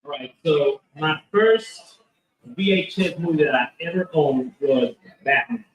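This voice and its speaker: A man's voice: fairly high pitch, expressive, slow, recorded from a distance, muffled recording. A man being interviewed at a convention about his comic book, talking in a rambling way with lots of fillers and restarts. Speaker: comic book creator, guest. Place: convention hall. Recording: roomy echo, from off mic.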